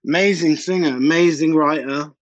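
A man's voice: a little high, steady tone, normal speed, dry recording, lo-fi sound. A British man, a DJ and producer, chatting informally and anecdotally as an interview guest. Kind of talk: casual interview chat.

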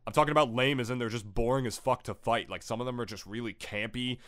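Young guy podcast host, kinda nasal from a cold but smooth resonant voice, rambly, lots of likes. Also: mid-range voice, fast talker, fairly bright tone. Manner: rambling, many "like" fillers, swears. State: recovering from illness. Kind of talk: casual opinionated chat.

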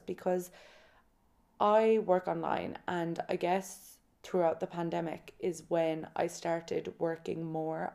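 A woman's voice: mid-range voice, gravelly, dark tone. A woman in her late twenties or so hosting a solo podcast, chatting candidly and casually, with self-mocking humor and dramatic emphasis. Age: late 20s or about 30. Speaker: podcast host. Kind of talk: casual solo podcast monologue, personal storytelling.

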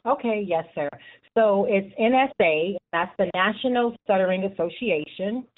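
A woman's voice: mid-range voice, moderate pace. A middle-aged woman speaking earnestly and at length as a guest in a radio interview, with passionate conviction. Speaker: guest, youth support group chapter leader. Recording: narrow tinny phone-like line.

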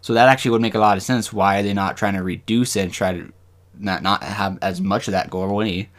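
A man co-hosting a podcast, talking casually and reading from notes, with halting, rambling explanations. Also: on the low side, fairly even intonation. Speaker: podcast co-host. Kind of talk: casual discussion with some reading aloud from notes.

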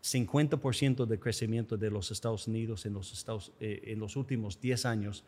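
Upbeat joking American host speaking Spanish. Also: male voice, on the low side, steady tone, fairly quick, a little mellow, husky, in a large hall. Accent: American English. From United States. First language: English. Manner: jokey, upbeat, self-deprecating. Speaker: podcast host. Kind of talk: casual interview.

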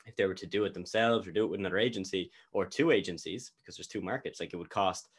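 Male host, very low voice, relaxed conversational interviewer. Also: quick pace, husky. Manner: relaxed, repeats words and fillers. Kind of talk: casual interview chat.

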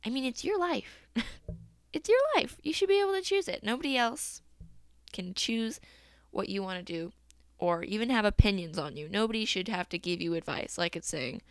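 Young woman podcasting about a song she likes, smooth clear voice, dry close mic, chatty and giggly. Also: mid-range voice, lively intonation, moderate pace, no echo. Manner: chatty, upbeat, laughs often, uses fillers like "um" and "like". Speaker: podcast host. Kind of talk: casual solo podcast chat about a song.

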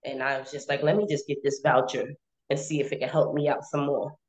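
A woman's voice: very deep voice, flat delivery, fairly quick, a little mellow. A woman in her late twenties telling her own story casually and candidly, repeating phrases for emphasis. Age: 20s.

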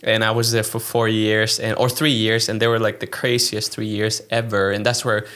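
A man's voice: on the low side, flat delivery, moderate pace, fairly bright tone. A young man from Chile who works as a pastor, talking earnestly in a casual interview and often restarting his sentences.

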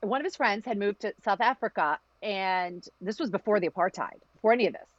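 A woman from Virginia, a podcast guest telling a family story in a lively, casual way, often restarting her sentences. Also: mid-range voice, a little fast, dull audio. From Virginia, USA. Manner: animated, lots of restarts and acted-out quotes.

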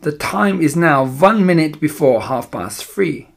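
A man voicing a theatrical, gloating villainess witch in an audiobook, with slow, drawn-out, relishing delivery. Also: on the high side, expressive, vocal fry, full character voice. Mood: commanding countdown. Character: Grand High Witch, gloating theatrical villainess, syrupy then shrieking. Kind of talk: audiobook character voice.

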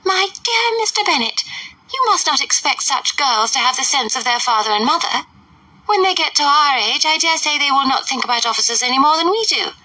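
A woman voicing a fussy, excitable middle-aged mother with a shrill, gushing manner. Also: husky, no room sound, distant mic, low-quality recording.

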